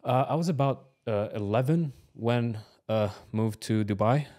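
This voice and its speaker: A man's voice: medium pitch, a little slow, warm tone. A man of about thirty who grew up in New Zealand, not an English speaker, talking casually and hesitantly as an interview guest. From Iraq-born, grew up in New Zealand. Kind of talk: casual autobiographical conversation.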